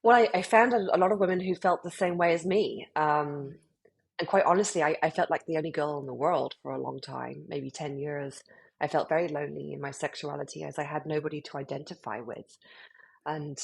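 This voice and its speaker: Husky, low-pitched British woman. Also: steady tone, brisk. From United Kingdom. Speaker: club founder, interview guest. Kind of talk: conversational interview answers.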